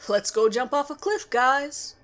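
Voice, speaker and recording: A woman's voice: high voice, lively intonation, a little slow, fairly bright tone, voice pushed up. A woman voicing a playful, charismatic male schoolteacher who tells a frightening story with emphasis. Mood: playful, loud.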